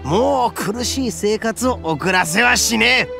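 An actor playing a boastful, brash young male oni gang leader in a game cutscene, with a rough, swaggering way of talking and a gravelly edge. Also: high voice, lively intonation, takes it slowly, heavily acted. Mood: protective. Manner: swaggering, rough-spoken, self-proud. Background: soft game music.

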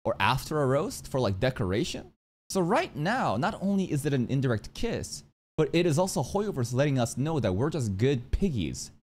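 A young man doing excitable livestream reaction commentary, slangy and crude in his joking, speaking close to a boom-arm mic. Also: moderate pace. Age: young adult. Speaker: streamer reacting to a game trailer. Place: small indoor room. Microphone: boom-arm microphone up close.

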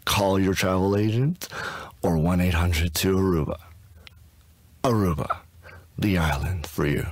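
A man reading tourism commercial narration in an unhurried, inviting way. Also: sits low, no room sound. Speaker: voice actor.

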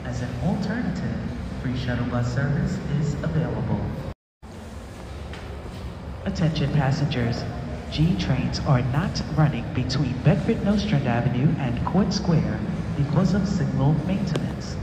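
A man's voice: medium pitch, expressive, a little slow. Man on a noisy, reverberant station PA. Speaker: transit announcer. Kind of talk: scripted public transit announcement.

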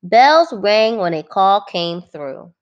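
A woman teacher reading a children's book aloud, phrasing it clearly and adding simple explanations for young listeners. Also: mid-range voice, very animated, takes it slowly, highs cut off.

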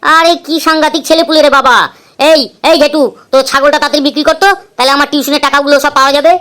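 A woman's voice: high voice, little pitch movement, racing, a bit bright. A woman voicing an exasperated village tuition teacher in a comedy cartoon, scolding and complaining.

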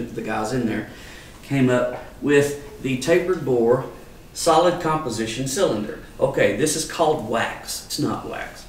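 An older man gives an informal, folksy explanatory talk as an archivist demonstrating to a small group. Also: medium pitch. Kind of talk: informal live lecture. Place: wood-paneled salon with small audience. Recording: echoey room, distant mic, faint noise.

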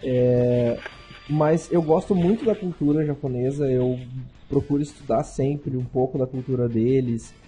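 A man's voice: mid-range voice, unhurried, dark tone, soft background music. A young man co-hosting a casual podcast, talking emphatically and repeating himself. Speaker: podcast co-host.

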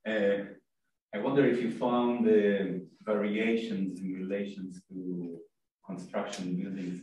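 A man in the audience asks measured questions in a very low, flat voice. He is far from the mic, so the room's strong echo and a faint hiss surround him. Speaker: audience member asking a question. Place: seminar room. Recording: distant, very echoey room with faint noise.

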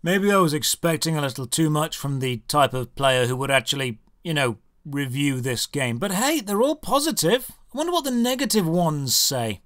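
A man's voice: a little high, sing-song, normal speed, a bit bright. A British man narrating a scripted video game review in a sarcastic, exasperated manner. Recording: music under the voice. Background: background music.

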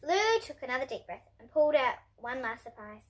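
A young girl of about ten to twelve reading a children's storybook aloud in an expressive way. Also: high voice, brisk. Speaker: host reading picture books for kids. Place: indoor room in front of bookshelves.

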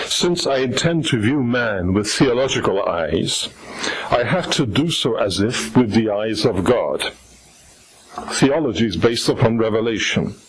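An older man, a native Polish speaker, giving a formal, measured theological lecture as a priest at a lectern, in a slightly husky voice. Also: medium pitch, low-quality recording. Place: lecture stage at a lectern, indoors. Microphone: gooseneck lectern microphone.

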